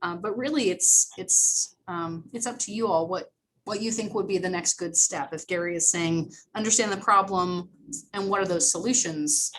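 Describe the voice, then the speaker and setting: A woman's voice: on the low side, normal speed, fairly bright tone, a little hiss or hum, poor audio. Woman explaining library matters in a meeting. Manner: conversational and explanatory, with restarts. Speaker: library staff member. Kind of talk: meeting discussion.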